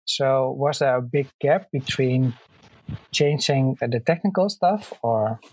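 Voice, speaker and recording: An interviewer asking measured questions with a few hesitant fillers. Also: medium pitch, a little mellow, low-quality recording.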